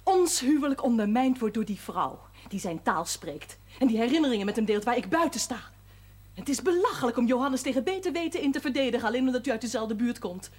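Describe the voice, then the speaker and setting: A hurt, jealous young wife with a fairly high but husky voice and a southern Limburg lilt. She speaks in a hushed, wounded way. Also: lively intonation, fast. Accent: southern Dutch, Limburg. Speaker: doctor's patient. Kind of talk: 1970s TV drama acting.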